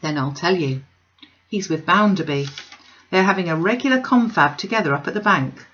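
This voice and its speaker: A woman reading a storybook part as a selfish young gentleman, breezy and slangy, wheedling his sister. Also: fairly low pitch, lively intonation, normal speed, highs cut off, a little hiss or hum. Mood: confiding.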